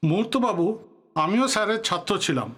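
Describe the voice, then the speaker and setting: High-voiced, commanding male politician. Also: very animated, brisk, fairly bright tone, exaggerated voice. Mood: genial, commanding. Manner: commanding, indignant, formal. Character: touchy, authoritative veteran male politician, greying. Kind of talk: Bengali audio drama acting.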